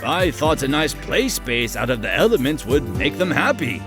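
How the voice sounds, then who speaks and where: A man voicing a timid, kindly older inventor in a cartoon comic dub, with a hesitant, gentle manner. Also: on the high side, big pitch swings, normal speed, music in the background. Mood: gentle, sheepish.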